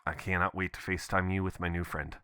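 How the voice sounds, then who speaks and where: Low, crisp-edged boyfriend voicemail, acted by a man. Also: fast, no echo, studio quality. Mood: amused, affectionate. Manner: casual, expressive, chuckling, swearing. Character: adventurous, affectionate, playful boyfriend leaving voicemails. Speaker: audio performer. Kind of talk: intimate voicemail roleplay, ASMR boyfriend audio.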